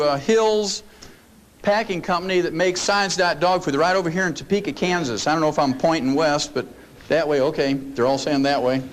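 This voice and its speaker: An older man with a fairly high voice lectures a roomful of people in a folksy, storytelling way. He leans on jokes and homespun asides as he makes his points. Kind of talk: folksy seminar talk. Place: meeting room before an audience.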